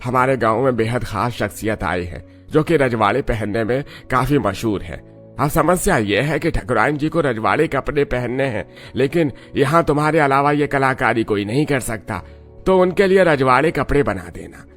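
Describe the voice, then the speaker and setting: A man's voice: mid-range voice, animated, normal speed, a bit bright, soft background music, close to an everyday voice. A man voicing a kindly, obliging middle-aged village headman in a cartoon, reassuring and deferential. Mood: kindly, coaxing.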